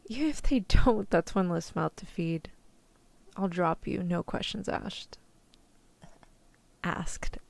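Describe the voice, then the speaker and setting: A dry, close-sounding woman playing a scrappy field rescuer with a creaky edge to her voice. She is tough and protective, talking in easygoing slang as in an ASMR roleplay. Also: fairly low pitch, a little mellow, no echo. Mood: menacing, possessive. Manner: casual slangy reassurance, turning threatening.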